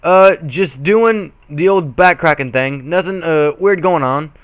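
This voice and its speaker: A man's voice: fairly high pitch, measured pace, clear, resonant tone, no echo, natural voice. Flustered farm mare pony, voiced by a man. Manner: embarrassed, hesitant, exclamatory.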